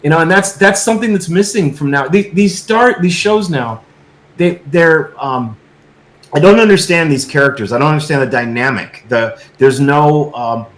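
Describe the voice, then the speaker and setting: Echoey, insistent man, halting delivery. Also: a little high. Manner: halting, repetitive, insistent, seeks agreement. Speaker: guest filmmaker. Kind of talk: casual unscripted discussion. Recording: echoey, roomy sound.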